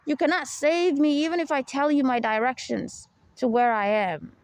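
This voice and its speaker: Gravelly, deep-voiced young woman podcaster. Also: fairly even intonation, moderate pace, no room sound. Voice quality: low, deep voice. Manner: rambling, confessional, frequent "you know" and soft laughs. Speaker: podcast host. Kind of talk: personal monologue podcast.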